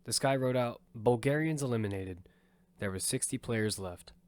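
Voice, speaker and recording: A man's voice: medium pitch, moderate pace, no room sound, close to an everyday voice. A man voicing Damian, a young battle survivor, narrating the story in first person in an earnest, matter-of-fact way. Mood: steady. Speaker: narrator and lead character. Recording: loud music score behind.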